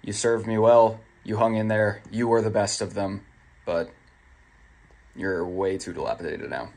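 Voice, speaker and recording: A man's voice: on the low side, fairly even intonation, a little slow, creaky, darkish tone. Wry young man vlogging on a trail. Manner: wry, self-mocking, laughs things off. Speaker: thru-hiker vlogger. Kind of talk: casual trail vlog to camera. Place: outdoors on a mountain trail. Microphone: phone held at arm's length.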